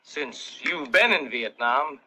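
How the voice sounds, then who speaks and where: A man's voice: mid-range voice, animated, takes it slowly, pushed and bright, heavily acted, poor audio. An actor playing a newspaper man questioning soldiers in a 1960s war film. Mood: pointed. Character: pointed male newspaper man asking questions.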